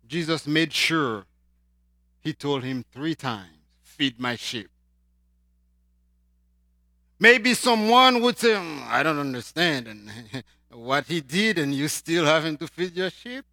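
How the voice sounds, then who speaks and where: Haitian preacher with a bright voice. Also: male voice, fairly high pitch, very animated, takes it slowly, no room sound, studio quality. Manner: swings from loud emphatic lines to quiet spoken asides. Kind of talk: sermon.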